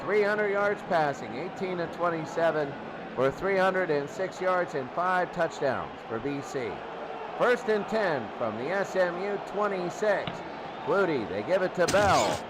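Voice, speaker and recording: A man's voice: sits high, expressive, a little slow. A man doing live play-by-play radio commentary on a football game in short, clipped phrases. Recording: loud crowd noise, noisy broadcast. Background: roaring stadium crowd.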